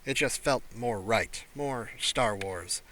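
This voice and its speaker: A man reading scripted science fiction as an audiobook narrator, in a measured, deliberate way. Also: fairly low pitch, a bit bright, poor audio.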